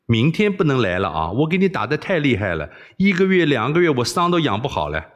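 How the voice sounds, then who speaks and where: A man's voice: mid-range voice, sing-song, fast talker, lightly acted. A man voicing a cheeky, foul-mouthed young rogue posing as a palace eunuch, brash and exclamatory, in audiobook storytelling. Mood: exasperated.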